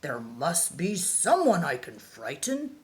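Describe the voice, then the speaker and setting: A big growly storybook bear, put on by an older woman, blustering and determined to scare someone. He talks slowly and insistently, with growls, in an echoey room. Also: low-pitched, wildly swooping pitch, full character voice. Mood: huffy. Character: large growly male bear, blustering, eager to frighten. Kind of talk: storybook read-aloud.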